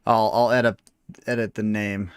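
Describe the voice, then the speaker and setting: A man's voice: lowish voice, little pitch movement, moderate pace, clean tone, no echo, studio quality. A man in his early twenties hosting a casual gaming livestream, chatty, laughing often and full of fillers. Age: early 20s. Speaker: game streamer host.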